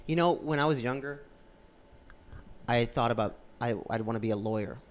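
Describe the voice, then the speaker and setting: A man's voice: medium pitch, normal speed. A man in his late twenties co-hosting a podcast, chatting in a rambling, laid-back way. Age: 20s. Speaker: podcast co-host. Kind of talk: casual podcast chat.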